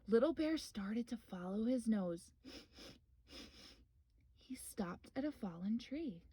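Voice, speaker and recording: Female children's story reader: high voice, animated intonation, simple encouraging delivery aimed at little listeners. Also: takes it slowly, echoey room, far from the mic. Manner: simple questions and encouragement addressed to small children. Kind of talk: expressive read-aloud for young children.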